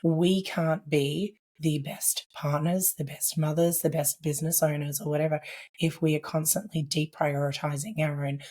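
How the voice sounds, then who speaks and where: Low-pitched hoarse woman. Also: flat delivery, normal speed, mellow. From Australia. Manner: earnest, reflective, with frequent "like" and "I guess". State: laryngitis, hoarse. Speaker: podcast guest. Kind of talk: conversational podcast interview.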